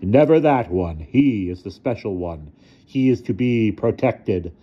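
A man voicing a tall, robed, theatrically menacing stranger who makes slow, grand pronouncements in an audiobook. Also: lowish voice, expressive, muffled recording, a little hiss or hum. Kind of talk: audiobook character voice.

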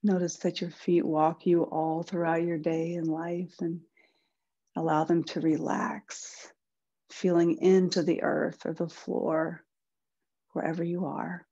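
A woman leading a guided body-scan meditation in a soft, breathy voice, gentle and soothing, with unhurried pauses. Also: on the low side, flat delivery, dull audio. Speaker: guided relaxation instructor.

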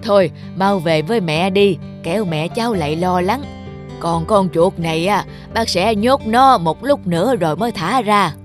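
A man's voice: high-pitched, moderate pace, soft background music, lightly acted. A man voicing a protective older uncle-like cooking pot in a cartoon, firm and avuncular. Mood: kindly. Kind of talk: cartoon character acting.

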